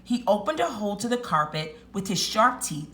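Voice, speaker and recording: Woman, teacher reading aloud, expressive children's narration, bright voice, lively swooping pitch, deliberate emphasis.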